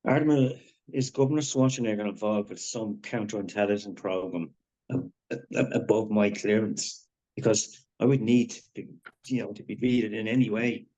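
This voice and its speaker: A man's voice: lowish voice, normal speed, warm tone, lightly acted. A man playing an earnest, bewildered Navy captain in a live screenplay table read. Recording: slightly dull, lo-fi sound.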